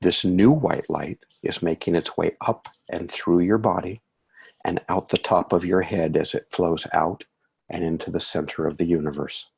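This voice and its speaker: A man leading a guided meditation in a soothing, measured way, with calm phrasing and gentle affirmations. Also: sits low, gravelly, husky.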